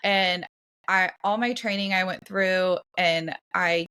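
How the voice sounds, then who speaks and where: A woman's voice: lowish voice, fairly even intonation, slow, pushed and bright, clear, resonant tone. A woman in her thirties co-hosting a podcast, talking casually with lots of fillers and restarts. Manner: chatty, many fillers and restarts. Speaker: podcast co-host.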